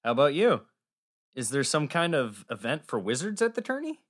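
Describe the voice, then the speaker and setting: A man's voice: a little high, big pitch swings, normal speed, a bit bright. A man voicing an earnest, unlucky young squire in a storybook, with a hesitant, glum manner. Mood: wry, glum. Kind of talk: audiobook character acting.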